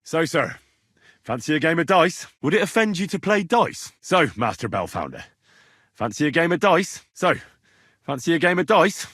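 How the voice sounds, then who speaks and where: A man's voice: on the high side, very animated, normal speed, a bit bright, husky, no room sound, clean studio sound, full character voice. A man voicing a young medieval man-at-arms in a video game, earnest, cheeky and plain-spoken, talking in a direct, inquisitive way. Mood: friendly, inviting. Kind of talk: video game dialogue acting.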